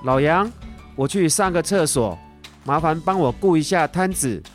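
Friendly market stallholder, played by a man, high for a male, radio drama over faint music. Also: lively intonation, normal speed, lightly acted. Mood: friendly asking. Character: friendly fried chicken vendor at a night market. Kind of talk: children's radio drama acting.

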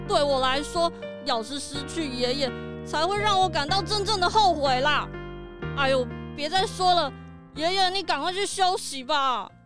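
A woman's voice: high voice, normal speed, close to an everyday voice. A woman voicing a caring, fretful young dog, pleading emphatically in a children's audio drama. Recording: music behind the voice.

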